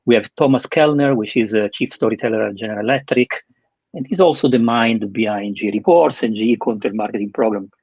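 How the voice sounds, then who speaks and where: Guy hosting an online interview, husky voice, dull dry webinar audio. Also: lowish voice, fast, darkish tone, dry recording.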